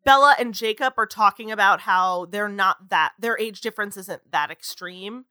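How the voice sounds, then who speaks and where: Bright-voiced young woman leading podcast chat. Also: medium pitch, normal speed, no room sound. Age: 20s. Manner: lively storyteller, leads the discussion. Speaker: podcast co-host.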